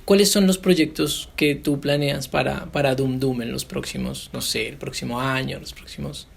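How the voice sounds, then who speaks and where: A woman's voice: rumbling low, fairly even intonation, moderate pace, gravelly, slightly rough. A woman interviewer in casual conversation, attentive, with loosely rambling questions and short affirmations. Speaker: interviewer, host.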